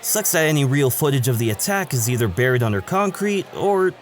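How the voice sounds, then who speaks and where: A man's voice: mid-range voice, sing-song, brisk, soft background music, lightly acted. A man playing an eager, awkward male student in a casual, chatty audio drama style. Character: excitable, awkward male student, lovelorn new kid.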